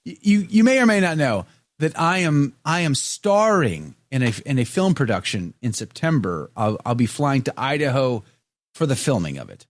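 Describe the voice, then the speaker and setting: Lively, playful male podcast host. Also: medium pitch, normal speed, no room sound, clean studio sound. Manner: playful, jokey, reads viewer comments aloud. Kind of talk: casual chat.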